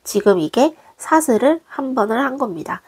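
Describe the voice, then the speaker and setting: A woman, hoarse from a cold, patiently walking viewers through a craft tutorial step by step in a gentle, polite way. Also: a little high, lively intonation, moderate pace. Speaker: crochet designer teaching.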